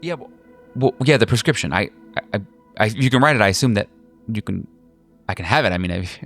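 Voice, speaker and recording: A man's voice: lowish voice, animated, fast, a bit bright, soft background music. A man voicing a flighty, insistent woman patient who rambles and hesitates in a tabletop horror game. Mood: eager, rambling. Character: flighty, snippy woman patient craving a prescription.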